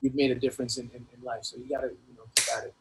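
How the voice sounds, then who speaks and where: A man talking casually as an interview guest, halting and earnest, often repeating himself. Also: mid-range voice, level tone, vocal fry. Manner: rambling, earnest, halting, many repeated phrases. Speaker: hip-hop artist being interviewed. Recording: noticeable background noise.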